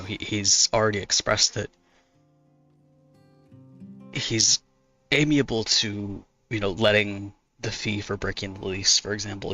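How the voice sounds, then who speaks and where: Hoarse, gravelly man chatting casually. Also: fairly low pitch, pushed and bright. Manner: rambling, self-correcting, laughs often. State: hoarse from talking a lot. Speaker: artist streaming while drawing. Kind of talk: casual chat answering viewers.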